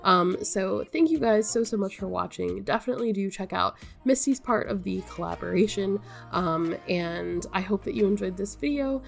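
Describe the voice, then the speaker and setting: A young woman chats to her viewers in a loose, rambling way, full of ums and likes. She is sick, so her voice sounds stuffy, nasal and tired, with a gravelly fry under her words. Her pitch sits in the middle range for a woman. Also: lively intonation, normal speed, hardly any pauses, faint music behind. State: stuffy-nosed and sick, voice wearing out. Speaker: gaming YouTuber. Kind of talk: casual chat to viewers.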